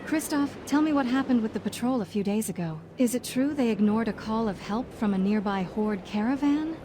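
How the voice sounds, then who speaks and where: A woman's voice: on the high side, expressive, fairly quick, background noise, natural voice. A woman playing a noble, earnest and firm human leader in dramatic fantasy game dialogue, with music underneath. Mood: demanding.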